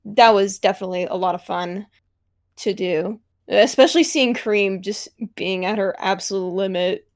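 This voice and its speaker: Woman chatting haltingly, middle-pitched voice. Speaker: voice actor on a convention panel. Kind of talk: casual panel chat. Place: online panel over voice call.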